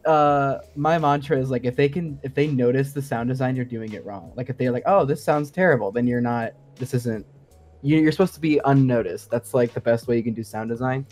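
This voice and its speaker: A man's voice: medium pitch, brisk, darkish tone, soft background music. A man explaining his craft as a guest in a casual, informal discussion. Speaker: podcast guest, sound designer.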